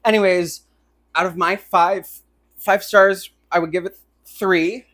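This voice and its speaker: A young American woman with a low-pitched voice co-hosts a casual review podcast. She talks in loose, slangy sentences, swears freely and keeps an irreverent tone. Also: steady tone, slow pace, fairly bright tone. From United States. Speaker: podcast co-host. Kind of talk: casual chat, book review banter.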